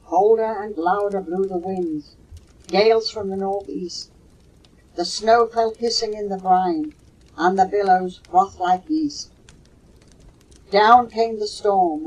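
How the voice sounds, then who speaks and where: An older woman with a husky voice, reciting poetry slowly and expressively on a low-fidelity home cassette recording. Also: darkish tone, rough recording. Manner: slow, measured, dramatic storytelling delivery. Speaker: mother reading poems for her children.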